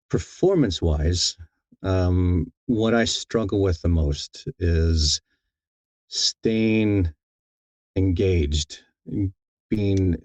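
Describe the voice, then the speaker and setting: A man's voice: sits low, a little mellow, no room sound. A man being interviewed as an audiobook narrator, talking casually and thoughtfully, often repeating words as he feels his way along.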